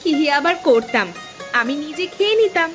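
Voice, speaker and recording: A woman playing a caring, traditional village wife in a cartoon, with a brisk, fond, chiding manner. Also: high-pitched, expressive, a bit bright, faint music behind. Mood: teasing. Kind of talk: cartoon voice acting.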